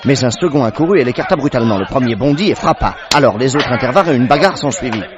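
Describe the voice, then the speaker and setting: An actor playing a loyal companion who tells the tale vividly in a French radio drama and is easily exasperated. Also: male voice, medium pitch, expressive, fast talker, natural voice. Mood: urgent, excited. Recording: dull old radio recording, crowd murmur behind.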